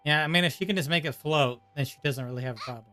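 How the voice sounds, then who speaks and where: A man with a somewhat high voice chats casually as he streams a game. His commentary is informal and reactive, with faint music behind it. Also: very fast, pushed and bright. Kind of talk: casual live gameplay commentary.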